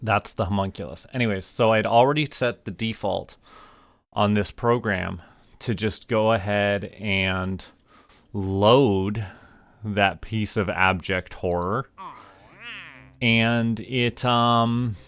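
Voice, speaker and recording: A man chatting casually on a livestream as host, rambling and halting in asides to viewers. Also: on the low side, fairly even intonation, fairly bright tone, clear, resonant tone, no room sound. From Canada. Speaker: streamer, VTuber host.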